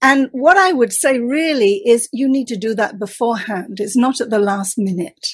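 A woman's voice: on the high side, moderate pace, husky. A woman storytelling expert giving confident, instructive advice in an interview.

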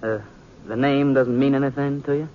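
A man's voice: mid-range voice, steady tone, natural voice. Male actor for an earnest young Old West sheriff, reserved and polite, American, muffled radio drama with faint noise. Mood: guarded, probing. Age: young adult. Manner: courteous, reserved, deliberate. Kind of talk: 1950s radio Western acting. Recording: muffled noisy 1950s radio recording.

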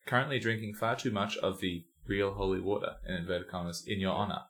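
A man co-hosting a casual comedy podcast, making quick jokes and laughing often. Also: sits low, a bit bright. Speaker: podcast co-host.

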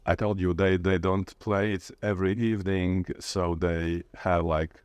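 A man's voice: low-pitched, steady tone, measured pace, smooth, no room sound. A man with a Latvian accent giving informal interview answers in a hesitant way, often groping for words. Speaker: interviewee, playwright and literature lecturer.

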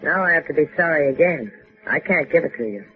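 A woman's voice: low-pitched, quick pace, close to an everyday voice. An actress playing a cool, tough, sardonic female sidekick in a 1950s radio adventure. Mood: cool, dry refusal. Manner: dry, sardonic. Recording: tinny band-limited 1950s radio recording with music.

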